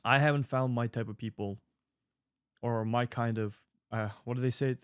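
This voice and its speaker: A man in his late twenties talks straight to his audience, offering sincere life advice. He rambles, restarts and fills gaps as he goes, his voice sitting at an ordinary middle pitch. The sound is dry and close, with no room echo. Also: steady tone, moderate pace, clear, resonant tone. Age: 20s. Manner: earnest, rambling with fillers and restarts. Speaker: online creator answering viewer mail. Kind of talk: casual advice monologue.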